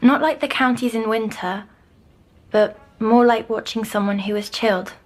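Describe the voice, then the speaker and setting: An actress playing a timid young girl troubled by nightmares in an audio drama, speaking hesitantly and softly. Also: fairly high pitch, close to an everyday voice. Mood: haunted, wondering. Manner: hesitant, halting, softly descriptive. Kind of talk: scripted audio drama acting.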